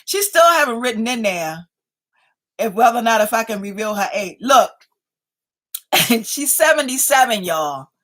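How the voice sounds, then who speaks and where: A woman's voice: on the high side, sing-song, moderate pace, long pauses, fairly bright tone. A woman in her fifties hosting a casual livestream, chatting playfully with viewers and reading their comments aloud, speaking. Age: 50s. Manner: playful, chatty, keeps addressing viewers as y'all. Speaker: livestream host.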